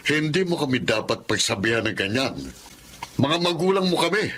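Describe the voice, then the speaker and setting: Callous middle-aged father, indignant, mid-pitched, sharp forceful voice, radio drama, faint noise behind. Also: sing-song, moderate pace. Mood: accusing. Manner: indignant, accusing.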